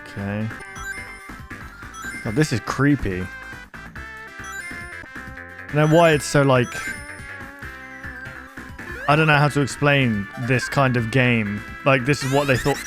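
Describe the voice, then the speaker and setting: A man's voice: mid-range voice, takes it slowly, long pauses, music in the background. A man giving casual, rambling reaction commentary in a jokey, incredulous manner. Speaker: YouTuber reacting to horror videos.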